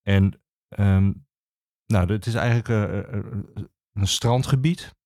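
A man with a Netherlandic Dutch accent explaining as an expert guest, conversationally and with halting, hesitant phrasing. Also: on the low side, a little mellow, no echo. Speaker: regional archivist, podcast guest.

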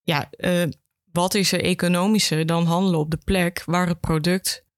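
A woman's voice: lowish voice, fairly even intonation, moderate pace, no echo, clean studio sound. A woman with a Netherlandic Dutch accent, a guest explaining things conversationally as an education officer.

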